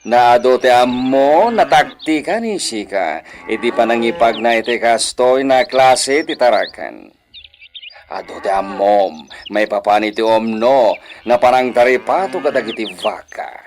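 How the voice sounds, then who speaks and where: An actor with an Ilocano accent playing an authoritative, measured hacienda master in a radio drama. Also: male voice, mid-range voice, faint music behind, natural voice. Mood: lordly, reassuring. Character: authoritative male hacienda master peppering speech with Spanish.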